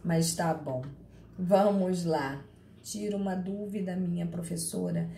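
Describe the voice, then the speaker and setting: A woman's voice: fairly low pitch, fairly even intonation, unhurried, gravelly, dark tone. A chatty woman with a Carioca accent lectures on law, teasing her students by name between points. Her voice sits in an ordinary middle range. She is recorded in an echoey room with faint ambient noise behind her. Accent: Carioca Portuguese. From Rio de Janeiro, Brazil. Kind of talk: live online class, reading chat questions.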